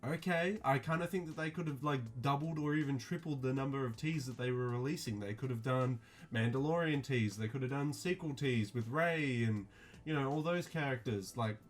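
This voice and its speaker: A man talking casually to his viewers, reviewing a clothing release in a flat, mildly disappointed way with lots of ums and restarts. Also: medium pitch, normal speed. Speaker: streetwear vlogger.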